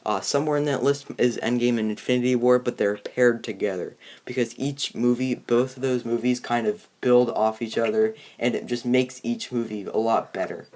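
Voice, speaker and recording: A man's voice: mid-range voice, darkish tone. A young man hosting a casual film discussion, feeling his way through sentences and rephrasing as he goes. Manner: halting, rephrasing often. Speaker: host, video reviewer.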